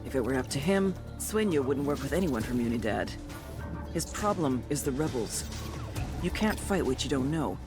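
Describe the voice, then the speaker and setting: An actor playing a shrewd intelligence briefer, reading a scripted dossier in a dry, knowing, colloquial way. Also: male voice, medium pitch, expressive, moderate pace, lightly acted. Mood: matter-of-fact, grave. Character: shrewd intelligence briefer profiling cartel targets. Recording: music behind the voice.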